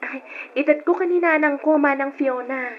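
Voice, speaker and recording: A woman's voice: high voice, moderate pace, lightly acted. An actress playing a polite, earnest, grateful young working woman and devoted daughter in a radio drama. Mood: eager, affectionate. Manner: deferential, earnest. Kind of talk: Ilocano radio drama acting. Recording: dull, noisy old radio drama recording.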